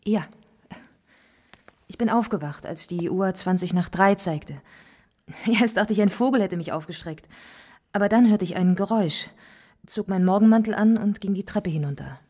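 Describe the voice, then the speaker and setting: An actress playing a self-assured, refined wife of about thirty in a German radio drama, with a breathy, husky voice. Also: female voice, mid-range voice, moderate pace, pauses often, a little mellow, lightly acted. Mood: composed. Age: 30s.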